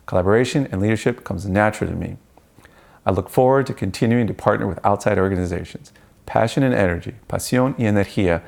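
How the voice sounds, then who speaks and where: A man's voice: sits low, gravelly, darkish tone. A middle-aged man from Peru, a native Spanish speaker, giving a prepared campaign speech as a school board candidate.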